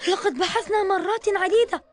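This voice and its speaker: A woman's voice: extremely high pitch, brisk, soft background music. A woman voicing a caring, dependable boy in a children's cartoon, earnest and considerate in formal Arabic. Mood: concerned.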